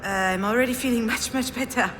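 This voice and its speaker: A woman's voice: on the high side, fast talker, fairly bright tone, slight background noise, lightly acted. An actress playing a frazzled, self-deprecating woman who narrates her inner monologue in a visual novel game, sardonic and confessional. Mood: flustered, apologetic. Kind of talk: visual novel voice acting, first-person narration.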